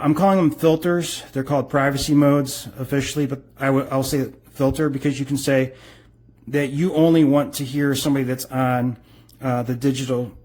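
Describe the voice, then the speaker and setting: A man's voice: medium pitch, a little mellow. Middle-aged man, casual explainer. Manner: informal, rambling, explanatory. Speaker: product reviewer. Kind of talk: casual unscripted product review.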